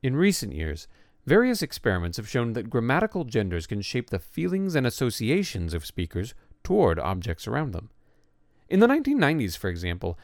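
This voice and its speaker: A man reading an essay aloud as scripted narration, in a measured, lively way with pointed emphasis on words. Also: lowish voice, no echo, studio quality.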